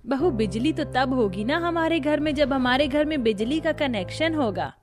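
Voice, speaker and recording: Actress as practical household woman, cartoon, earnest explaining, higher voice, music behind. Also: lively intonation, normal speed. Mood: patient explaining. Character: poor, practical mother-in-law and resourceful daughter-in-law. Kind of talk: Hindi family cartoon acting.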